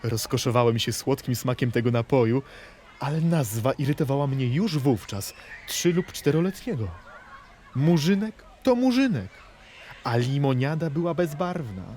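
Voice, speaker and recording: A man playing a wistful poet reciting memories and verse slowly and dramatically in a literary radio play. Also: medium pitch, animated, slight background noise, lightly acted. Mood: wistful nostalgic. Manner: slow, dramatic, dropping at times to hushed tones.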